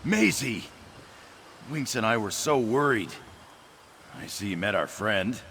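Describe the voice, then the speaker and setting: A man voicing an earnest, shy young half-orc, half-cat-folk in a video game, with a gravelly voice and polite manner. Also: on the low side, animated, a little slow, a bit bright, slight background noise, full character voice. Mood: relieved, excited. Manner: earnest, self-effacing, polite.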